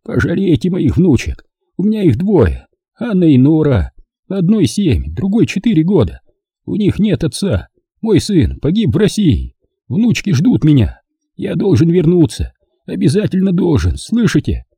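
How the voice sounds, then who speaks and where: Man reader plays a frail, tearful old villager, high and quavering, dark husky tone, begging. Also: huge pitch swings, moderate pace, no room sound, clean studio sound, heavily acted. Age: older. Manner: tearful, whining, pleading. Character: frightened old German man pleading for his life.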